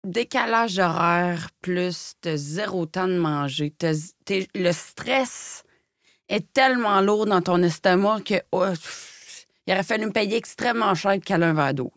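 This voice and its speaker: A woman's voice: lowish voice, a little slow, dry recording. Frank Québécoise woman, dry close sound. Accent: Quebec French, Shawinigan. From Shawinigan, Quebec. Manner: colloquial, frank, searching for words. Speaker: podcast guest, former model and designer. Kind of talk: candid personal storytelling in casual chat.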